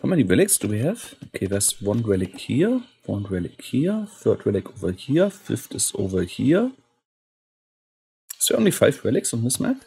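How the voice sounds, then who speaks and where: Hoarse man casually commentating a game. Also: fairly low pitch, wildly swooping pitch, normal speed, mellow, a little hiss or hum. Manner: thinking aloud, often clearing his throat; keeps saying "interesting" with mild curiosity. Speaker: gaming channel host commentating a match.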